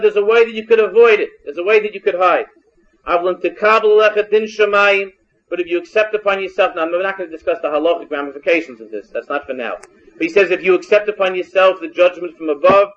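A Hungarian-born man teaches Torah, reading a Hebrew line and then translating it bit by bit. His voice sits high, and he keeps repeating and restarting phrases. The recording is muffled and lo-fi. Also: a bit bright. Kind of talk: text-based Torah lesson. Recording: dull lo-fi recording.

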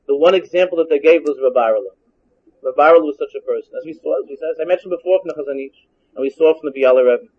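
A man whose first language is Hungarian teaches Torah from a text, reading Hebrew phrases and translating them one by one, often repeating and restarting. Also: a little high. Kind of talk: text-based Torah lesson. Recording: dull lo-fi recording.

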